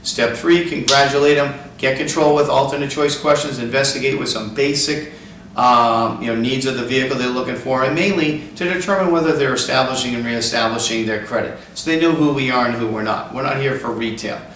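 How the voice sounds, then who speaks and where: A man's voice: medium pitch, brisk, a bit bright. A man working as a car sales trainer gives an instructional talk, explaining emphatically step by step. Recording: echoey room, distant mic, faint noise.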